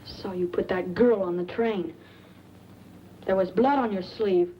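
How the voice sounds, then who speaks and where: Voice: mid-range voice, unhurried, slight background noise, natural voice. A young performer playing a sullen, frightened boy in a 1940s film. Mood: wary, accusing. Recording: dull old film soundtrack with music.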